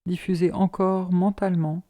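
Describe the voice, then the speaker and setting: A woman leading a guided yoga relaxation as an instructor, in a calm, measured, soothing manner. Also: on the low side.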